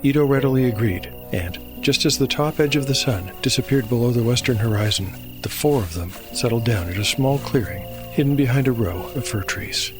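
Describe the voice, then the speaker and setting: A man narrating a fantasy tabletop game as storyteller and game master, in a measured, scripted delivery. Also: mid-range voice. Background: music.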